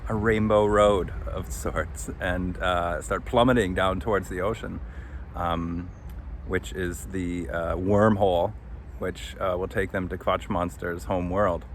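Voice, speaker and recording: Male podcast host, lowish voice, hesitant unscripted delivery, recorded outdoors with slight ambient noise. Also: little pitch movement. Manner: hesitant, halting with long pauses. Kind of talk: casual unscripted recap. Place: outdoors under a tree.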